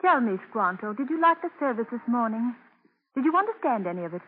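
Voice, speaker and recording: An actress in a 1940s radio drama playing a gracious, patient merchant's wife who speaks formally and carefully. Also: female voice, a little high, very animated, fast, husky. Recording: tinny old 1940s radio broadcast.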